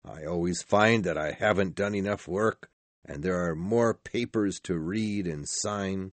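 A man's voice: low-pitched, measured pace, no echo. A man voicing a grumpy, miserly old businessman in a storybook, curt, scornful and irritable. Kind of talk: storybook character voice.

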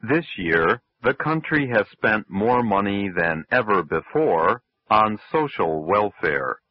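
A man reads an English lesson in a very low, unhurried voice, enunciating every phrase for learners. The sound is dry and close, with the muffled, lo-fi quality of an old radio broadcast. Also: big pitch swings, dry recording. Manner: slow, careful, clearly enunciated. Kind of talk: scripted textbook reading.

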